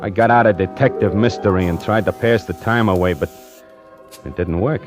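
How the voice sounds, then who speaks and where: A man's voice: low voice, normal speed, lightly acted. An actor playing a streetwise, slangy, hard-up necktie salesman who narrates an old-time radio suspense play in a dramatic style. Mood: irritated, haunted. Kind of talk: 1940s radio suspense acting, first-person narration. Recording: old radio broadcast with music behind.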